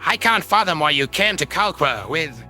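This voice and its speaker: A man's voice: fairly high pitch, sing-song, moderate pace, sharp tone, soft background music, voice pushed up, exaggerated voice. A man voicing a stern, contemptuous avian alien security guard in a sci-fi audio drama, bossy and disdainful. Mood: scornful.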